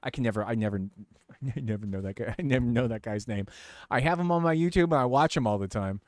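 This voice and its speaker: A man's voice: mid-range voice, fast, no room sound, clean studio sound. A man casually chatting to his stream while tuning and driving in a racing game, joking and talking to himself. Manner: rambling, jokey, self-talk while tuning. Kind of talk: casual gameplay commentary. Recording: noisy game audio behind voice. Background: racing game engine sounds.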